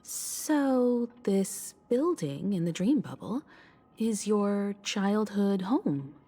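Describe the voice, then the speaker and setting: A woman playing a composed, knowing young woman in dramatic video game dialogue, deliberate and explanatory. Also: mid-range voice, animated, dark tone, husky, exaggerated voice. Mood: knowing, calm. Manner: deliberate, expository.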